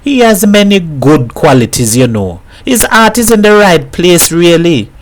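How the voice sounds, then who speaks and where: A man doing a high, pleading fretful wife. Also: very animated, unhurried. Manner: pleading, earnest, high-pitched. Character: fretful devoted woman defending her husband.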